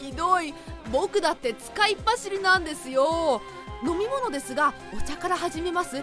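A very high, boyish voice acted by a woman for a put-upon young servant in a radio drama. He pleads and whines politely, always flustered. Music plays clearly underneath. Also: expressive, moderate pace. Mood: flustered, complaining. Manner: whiny, pleading, polite junior speech.

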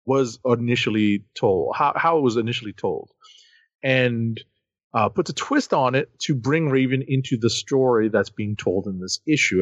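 A man co-hosting a comics podcast, listing writers and artists before giving his take. His pitch swings widely as he talks. The sound is dry and a little dull, as if from a home setup. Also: no room sound. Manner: lively, reads credits then chats. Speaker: podcast co-host. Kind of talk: casual comic book review chat.

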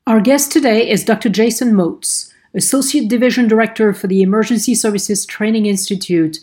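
A woman's voice: mid-range voice, darkish tone. A woman hosting an interview podcast, delivering prepared introductions and questions.

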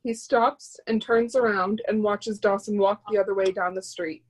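A woman's voice: mid-range voice, fairly even intonation, moderate pace, low-quality recording. A woman reading out screenplay stage directions as scripted narration.

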